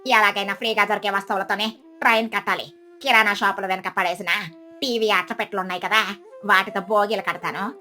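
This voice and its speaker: A woman's voice: mid-range voice, steady tone, a little fast, bright, forceful tone, faint music behind, natural voice. A woman playing a boastful, stingy, scornful mother crow in a children's cartoon story, with a sneering, scolding manner. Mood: scheming mutter.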